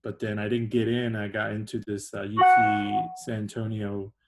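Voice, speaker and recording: Guest, young man, lower-pitched, soft-spoken, loose and rambling. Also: completely flat delivery, normal speed, loud music behind the voice. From China. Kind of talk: casual interview.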